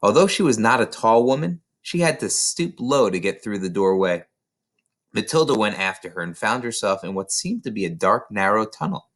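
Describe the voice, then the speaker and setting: A man's voice: lowish voice, expressive, moderate pace, a bit bright. A man reading a children's novel aloud as scripted narration. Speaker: storybook reader.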